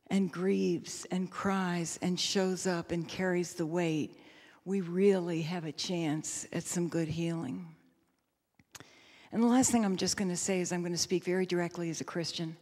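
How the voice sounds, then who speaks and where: Lowish-voiced woman pastor in an echoey hall. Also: darkish tone. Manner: measured, emphatic, repeats phrases. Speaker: pastor, panel speaker. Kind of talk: reflective, sermon-like panel remarks. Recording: echoey hall with long reverb.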